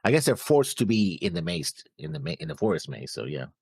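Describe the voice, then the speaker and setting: A man co-hosting a reaction show, talking casually through notes with halting, noncommittal phrasing. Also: deep voice, sing-song, a little mellow, husky, dry recording, studio quality. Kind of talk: casual discussion, reading out notes.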